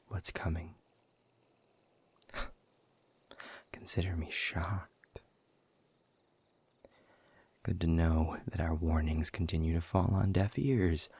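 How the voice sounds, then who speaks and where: A man playing a bitter, world-weary demon in an audio roleplay, speaking in a husky, breathy voice with sardonic, deliberate emphasis. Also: low-pitched, darkish tone, full character voice. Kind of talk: scripted audio roleplay monologue.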